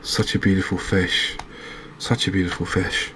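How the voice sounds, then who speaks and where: Low-voiced man, gentle ASMR game commentary. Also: little pitch movement, normal speed, slight background noise, poor audio. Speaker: host of a gaming channel. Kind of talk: ASMR let's-play commentary.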